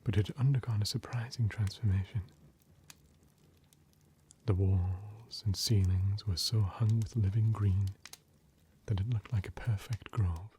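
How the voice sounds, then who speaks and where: A man narrating a classic story in ASMR style, mostly in a soft, measured whisper, with noise in the background. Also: lowish voice, fairly even intonation.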